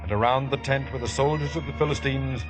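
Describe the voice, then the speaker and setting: A man's voice: mid-range voice, natural voice. Solemn biblical narrator, a man over music. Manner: grave, scriptural, measured. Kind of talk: 1950s radio scripted narration.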